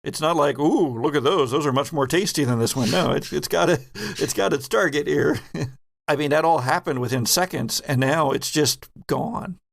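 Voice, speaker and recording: A man narrating a tabletop horror game as game master, in halting, suspenseful phrases. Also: on the high side, creaky.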